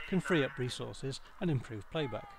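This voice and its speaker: A man reading a scripted product review as narration, in a measured, matter-of-fact way. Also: mid-range voice, expressive, creaky, background noise.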